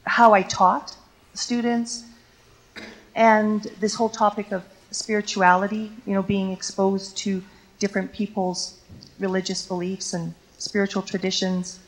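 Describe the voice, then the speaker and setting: A woman's voice: mid-range voice, level tone, measured pace, low-quality recording. A woman from Canada whose first language is Ukrainian, a teacher answering audience questions on a lecture stage in a reflective, storytelling way with many fillers.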